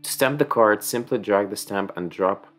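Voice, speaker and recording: A man's voice: on the low side, moderate pace, a bit bright. Ukrainian-accented man playing a game. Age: young adult. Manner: reads game text aloud, thinking aloud, chatty. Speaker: gaming streamer. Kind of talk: casual let's play commentary. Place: small room at home.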